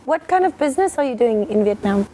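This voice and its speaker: A woman's voice: on the high side, lively intonation, fast, slight background noise. A woman co-hosting a talk show with casual, playful, teasing banter. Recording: loud music over the voice.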